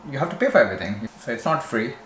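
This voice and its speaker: A man from India with an Indian accent, chatting in a relaxed, conversational way as a vlog co-host. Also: medium pitch, very fast, slight background noise. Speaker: vlogger co-host. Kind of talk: casual chat. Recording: echoey room with faint ambience.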